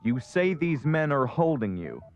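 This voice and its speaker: Stern space commander, slightly muffled. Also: male voice, a little high, very animated, soft background music. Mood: suspicious. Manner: brisk, commanding. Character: stern, suspicious commander of a space defence crew.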